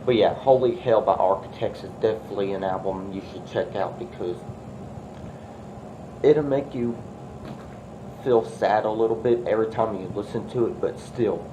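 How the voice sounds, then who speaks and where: A man's voice: on the low side, moderate pace, highs cut off. A man with a congested, stuffy voice from a cold, talking through an album review in an earnest, rambling, repetitive way. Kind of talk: casual music review vlog. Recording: steady background noise.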